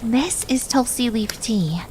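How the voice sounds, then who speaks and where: A woman's voice: fairly high pitch, lively intonation, takes it slowly, background noise. A woman voicing a prim, bookish young maid who speaks precisely and sternly in an ASMR roleplay. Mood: prim lecturing. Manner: precise, scolding, formal wording. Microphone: close binaural microphone.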